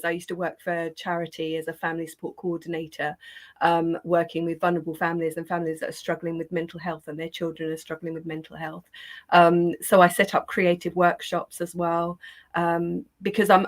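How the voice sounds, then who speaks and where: A woman's voice: on the low side, flat delivery, fairly quick. A woman guest, a positive psychologist, chatting casually in an interview and laughing often, from her garden shed.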